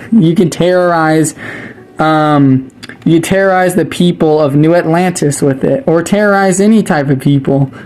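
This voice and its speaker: Chatty gamer, a bit raspy after streaming. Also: medium pitch. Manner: chatty and excitable, rambling with restarts. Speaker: gaming video creator. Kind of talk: casual game commentary. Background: faint ambient music.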